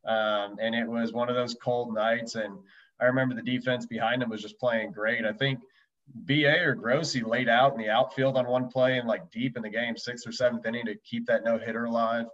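The sound is dull and slightly lo-fi. A man with a medium-pitched voice tells stories casually as a podcast guest, in loose, slangy, colloquial phrasing. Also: little pitch movement, brisk, a bit bright. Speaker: podcast guest, former professional baseball pitcher. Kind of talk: casual chat, storytelling.

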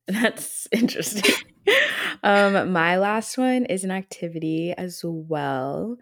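Low-voiced woman in her twenties. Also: slow, dry recording, clean studio sound. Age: 20s. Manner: relaxed, reflective, laughs often. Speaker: podcast co-host. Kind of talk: casual chat.

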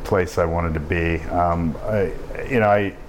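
Podcast guest: low-voiced American man, rambling and halting, faint hiss behind. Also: fairly even intonation. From Pennsylvania, United States. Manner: halting, many restarts and fillers, swears casually. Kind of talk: casual conversational storytelling. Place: indoors in Bangkok.

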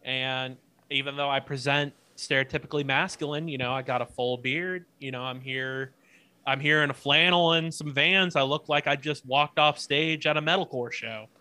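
A man's voice: medium pitch, steady tone, normal speed, bright, forceful tone, clean tone. A young man telling stories in a casual chat, swearing freely, laughing often and tripping over his words. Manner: profane, loose storytelling with many fillers and restarts. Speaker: podcast co-host.

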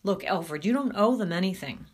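A woman voicing a loyal, serious teenage boy who urges softly but firmly, in a storybook reading. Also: mid-range voice, expressive, normal speed, a little hiss or hum, close to an everyday voice. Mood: coaxing. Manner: soft, firm urging.

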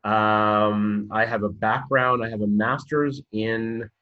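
A Canadian man with a lowish voice talks through a podcast interview. He hesitates and restarts often, then warms into lively storytelling. The audio sounds a little dull and muffled. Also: slow. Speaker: guest, university programme director.

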